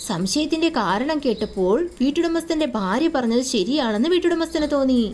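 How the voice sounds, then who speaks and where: A woman's voice: sits high, expressive, fairly quick, darkish tone, a little hiss or hum. A woman giving scripted narration for a children's moral story.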